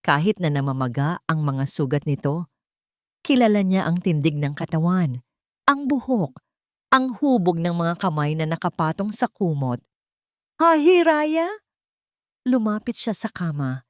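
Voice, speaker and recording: A woman with a low voice reads a dramatic love story as narrator. Her delivery is measured and solemn, with pauses for effect, recorded close and dry. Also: darkish tone, no echo.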